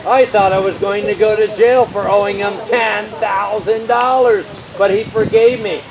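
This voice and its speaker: A man's voice: high-pitched, fairly even intonation, moderate pace, over music, lightly acted, rough recording. A man voicing a whining, two-faced debtor puppet, played melodramatically in an outdoor children's show. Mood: relieved. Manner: melodramatic, exclaiming. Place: outdoors at an open-air show. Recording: outdoor live recording with crowd noise.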